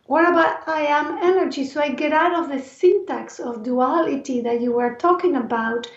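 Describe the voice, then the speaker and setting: A woman from Argentina with an Argentine Spanish accent and a raspy voice, speaking earnestly and reflectively as an interview guest. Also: sits high, moderate pace. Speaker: interview guest, life and movement coach. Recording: roomy echo, distant mic, dull sound.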